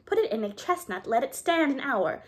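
A woman's voice: high voice, very animated, moderate pace, pitched above the performer's own voice. A woman voicing a crowd of tiny, cheeky mice who sing and chant rhymes in twittering voices. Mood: sing-song. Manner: sing-song, twittering, rude.

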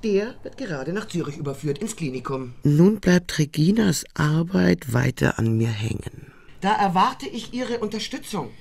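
Crime radio drama, female chief prosecutor, brisk, official, demanding, strong vocal fry. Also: on the low side, big pitch swings, a little mellow, heavily acted. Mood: businesslike.

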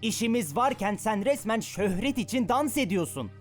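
A woman's voice: on the high side, lively intonation, moderate pace, natural voice. A woman voicing an anxious, uptight young male imp assassin in a fan dub of a cartoon, fussy and easily flustered. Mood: bitter. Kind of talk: fan dub of animated series. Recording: music playing behind.

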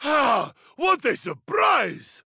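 A man playing a huge, boisterous, muscle-proud wrestler in a video game, bombastic and hearty with a gravelly voice. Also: very high-pitched, wildly swooping pitch, drawn-out words, pushed and bright, no echo, raised voice, clean studio sound, exaggerated voice. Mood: booming, delighted.

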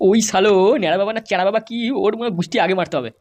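A man's voice: high voice, rapid-fire, a little mellow, close to an everyday voice. A man voicing a vengeful, boastful gangster politician who swears through a comedy cartoon. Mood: aggrieved, furious. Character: vengeful foul-mouthed male gangster politician, the big boss.

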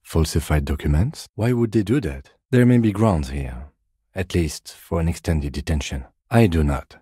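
A man voicing a composed, methodical police detective in a video game, with a measured, restrained, deadpan delivery. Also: extremely low pitch, breathy edge, no room sound, studio quality. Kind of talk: scripted game dialogue.

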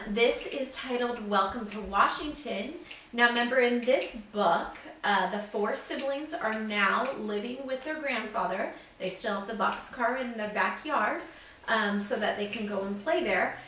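A middle-aged woman, a teacher, doing an unhurried read-aloud for children and addressing them directly. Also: on the high side, a bit bright. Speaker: second-grade teacher. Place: living room at home, indoors. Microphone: camera mic several feet away. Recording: echoey room, distant mic, background noise.

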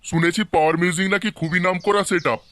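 A rapid, fairly high male voice with a West Bengali accent plays a nagging village uncle voiced by a man. He needles and mocks sarcastically in a homemade cartoon. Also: steady tone, a bit bright, no room sound. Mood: mocking. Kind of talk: amateur comic cartoon dub.

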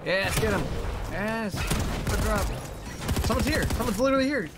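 A man chatting casually and excitedly with teammates in a multiplayer game. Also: sits high, normal speed, very noisy. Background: loud game music and gunfire.

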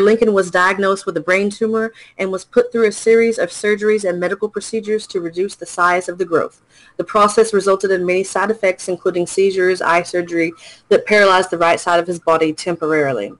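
A man's voice: high voice, poor audio. Man reading news on a casual podcast. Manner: reads haltingly with small slips and self-corrections. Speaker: podcast co-host.

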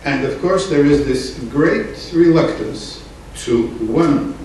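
A man's voice: mid-range voice, vocal fry, darkish tone. Middle-aged man from Bulgaria in an echoey hall. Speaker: member of parliament. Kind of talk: formal remarks at a public assembly. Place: large conference room. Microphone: table gooseneck microphone. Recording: echoey room from far off, noisy.

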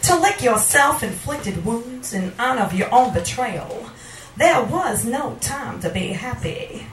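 A woman reading poetry live at a small venue, with a dramatic, deliberate delivery, recorded with a handheld microphone in a roomy space. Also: fairly high pitch, very animated, distant mic, slight background noise. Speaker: poet reading at a poetry night. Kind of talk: live spoken-word poetry reading with casual asides. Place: small wood-panelled indoor bar venue. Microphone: handheld stage microphone, picked up from a camera.

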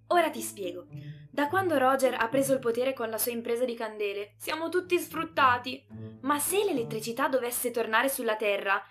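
A woman's voice: sits high, sharp tone. A woman playing an earnest, hopeful, studious young girl in a children's cartoon, speaking emphatically. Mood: earnest, pleading.

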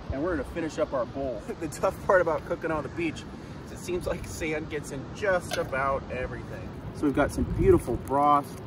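Man, 40s, enthusiastic cooking vlog host, outdoors, distant camera mic, loud surf and ambience behind. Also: a little high, background noise. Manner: enthusiastic, chatty. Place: outdoors on a sandy beach. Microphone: camera a few feet away.

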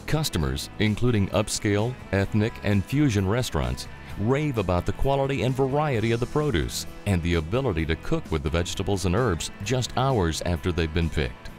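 A man's voice: on the low side, darkish tone. A man reading scripted promotional documentary narration as a narrator.

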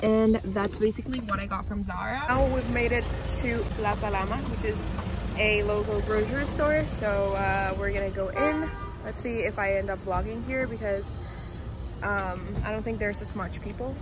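A woman's voice: medium pitch, normal speed, heavy background noise. A woman in her twenties chatting casually as a vlogger, recorded on a phone at arm's length inside a car. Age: 20s. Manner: switches between English and Spanish. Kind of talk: casual vlog chat. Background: music, car sounds.

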